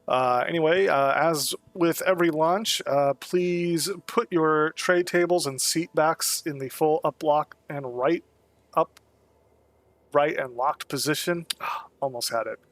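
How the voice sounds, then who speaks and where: A man getting over a cold gives relaxed, rambling commentary while playing a space game, with frequent ums and short laughs. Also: on the high side, takes it slowly, no room sound. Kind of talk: casual live-stream commentary.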